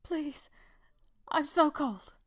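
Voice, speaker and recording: A woman playing a frightened, freezing young woman in an audio drama, pleading haltingly. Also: high voice. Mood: weak, pleading.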